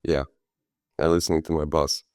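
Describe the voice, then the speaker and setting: A man from Croatia, a product manager, introducing himself on a webinar in a relaxed, hesitant way with many uhs. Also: a bass voice, normal speed, dry recording, studio quality. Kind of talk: casual presentation with fillers.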